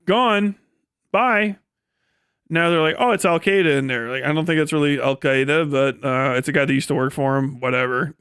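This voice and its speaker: Clear-voiced, foul-mouthed man streaming commentary. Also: on the high side, a bit bright, no echo, studio quality. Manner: profane, blunt and dismissive; sardonic. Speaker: live streamer. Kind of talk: off-the-cuff political commentary on a livestream.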